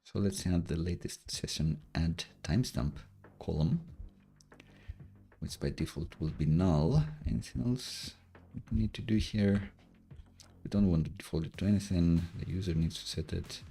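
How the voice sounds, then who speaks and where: A man's voice: deep voice, normal speed, vocal fry, warm tone. A young man from Greece chatting casually while live coding at home, thinking aloud as he works. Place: home room. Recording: faint music behind the voice.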